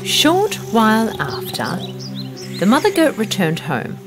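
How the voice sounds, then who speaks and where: Female bedtime-story narrator: dramatic, swooping intonation over clear background music. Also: fairly high pitch, huge pitch swings, unhurried. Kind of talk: scripted children's fairy tale narration.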